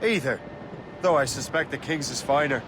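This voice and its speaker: An actor playing an earnest, dry-witted young sea captain in a video game, speaking directly. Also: male voice, mid-range voice, moderate pace, close to an everyday voice. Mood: dry, amused. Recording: loud boat and sea noise.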